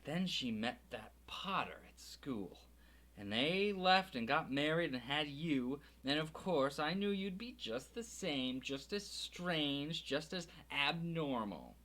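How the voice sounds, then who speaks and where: A man voicing a shrill, resentful middle-aged woman who rants on the edge of tears in an audiobook reading. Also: animated, takes it slowly, pushed and bright, exaggerated voice. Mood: shrill ranting. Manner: shrieking, ranting.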